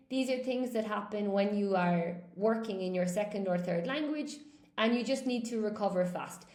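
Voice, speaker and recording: A middle-aged woman English teacher chatting casually with viewers, reading their comments and telling stories in an animated way. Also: fairly high pitch, echoey room. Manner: animated storytelling with laughs and acted quotes.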